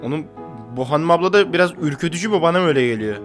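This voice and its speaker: Higher-voiced young man reacting over music. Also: expressive, rapid-fire. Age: 20s. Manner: slangy, jokey chat. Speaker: reaction video host. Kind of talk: casual reaction commentary. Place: small indoor room with brick-patterned wall.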